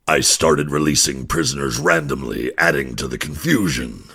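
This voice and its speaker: An actor playing a gruff, deadpan outlaw time traveler with a gravelly, husky, laconic delivery in a fan audio drama. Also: male voice, extremely low pitch, normal speed, full character voice.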